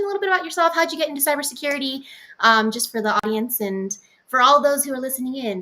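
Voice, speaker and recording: A woman's voice: on the high side, fairly bright tone. A woman hosting a podcast, upbeat and welcoming in casual chat. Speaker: podcast host.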